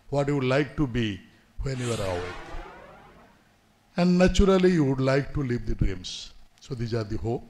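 A man's voice: mid-range voice, a little mellow. Man with giving formal address. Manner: measured, formal, pauses often with "uh". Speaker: guest speaker. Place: indoor university seminar hall. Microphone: podium microphone.

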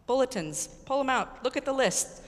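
A middle-aged American woman priest giving an earnest, emphatic sermon with deliberate pauses and repetition. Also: high-pitched, animated. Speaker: Episcopal priest preaching. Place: large church with congregation, indoors. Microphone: pulpit microphone. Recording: echoey church hall reverb.

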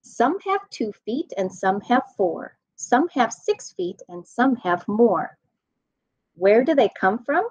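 Woman, 30s, children's storytime reader. Lively swooping intonation, unhurried rhyming delivery, slightly muffled webcam sound. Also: on the high side, slightly rough. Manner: expressive, deliberate sing-song rhyme reading. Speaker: school staff nutritional specialist reading a children's storybook. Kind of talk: read-aloud storytime for children. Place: indoor room at home.

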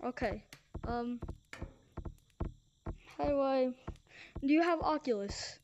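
High voice changer voice, sounds like a woman, hosting a game show thing casually, noisy headset audio. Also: slow pace, a little mellow, muffled recording. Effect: high-pitched voice changer. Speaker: host of an in-game talent show. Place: virtual reality game session. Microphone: VR headset mic.

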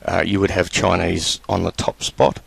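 Deep gravelly man walking through a tutorial. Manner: relaxed, halting, many fillers. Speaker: tutorial presenter. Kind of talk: screen-recorded software tutorial.

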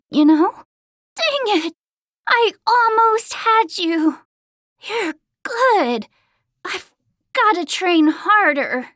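A brash young martial-arts girl in an anime fighting game. The voice is very high and girlish, swinging widely in pitch with a forceful, bright edge. The delivery is cocky, spirited and full of exclamations. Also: no echo, clean studio sound, exaggerated voice. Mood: frustrated, conceding. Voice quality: girlish, youthful. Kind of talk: English-dubbed anime video game battle lines.

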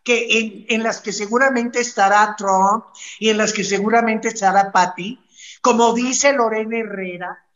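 A woman's voice: mid-range voice, steady tone, normal speed, fairly bright tone. A middle-aged woman giving opinions as a panelist on a video call. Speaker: talk show panelist. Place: indoor room. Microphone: computer mic on video call. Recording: dull video call audio.

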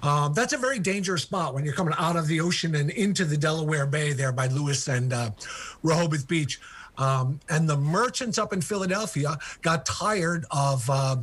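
An older man with a slightly husky, fairly high voice tells a history story over a video call. He talks with eager energy, full of ums and uhs. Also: normal speed, a bit bright. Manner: enthusiastic, many ums and uhs. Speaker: author and guest. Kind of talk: remote interview storytelling.

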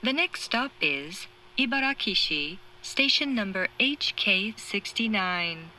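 Very slow, bright woman announcing on trains. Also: mid-range voice, lively intonation, low-quality recording. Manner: formal, measured, clearly separated phrases. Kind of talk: scripted recorded train announcement. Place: recorded inside a train carriage. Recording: onboard train speaker with running noise.

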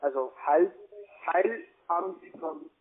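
A high-voiced man with a foreign accent, not a native German speaker, comes through a narrow, tinny phone line. He badgers like a cold caller, repeating himself in halting, broken grammar. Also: husky. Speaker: cold caller from a call centre. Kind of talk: argumentative phone conversation.

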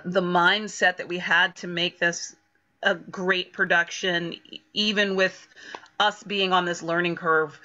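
A middle-aged woman chatting in an animated way on a video-call panel about her production work. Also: fairly low pitch. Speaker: theater production crew member. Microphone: computer mic on a video call. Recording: dull video-call audio.

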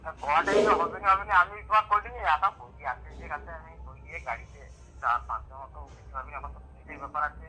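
A man with a rural Medinipur Bengali accent in a casual phone chat, halting and repeating himself. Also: on the high side. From West Bengal, India. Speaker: prank call recipient. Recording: noisy phone call, clear background noise.

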